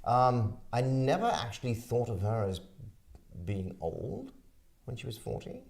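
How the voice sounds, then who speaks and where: A man's voice: medium pitch, wildly swooping pitch, a little slow, creaky, a little mellow. A man in his forties, an actor co-hosting a casual talk show, reflective and given to stammering restarts. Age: 40s. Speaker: co-host, actor. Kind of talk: casual chat.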